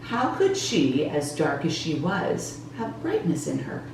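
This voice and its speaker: A woman reading a children's storybook aloud in an expressive, deliberate storytelling manner, recorded with a distant, echoey sound. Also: sits low. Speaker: children's storybook reader for a church Sunday school. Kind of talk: scripted storybook narration for children. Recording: roomy echo, distant mic, faint noise.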